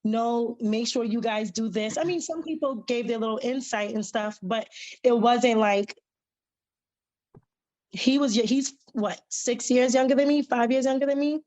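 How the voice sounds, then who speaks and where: Woman on a podcast panel, kinda high voice, rambles w lots of "like". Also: unhurried, a little mellow, low-quality recording. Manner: reflective, rambling with fillers. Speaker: panel guest. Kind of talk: casual panel discussion.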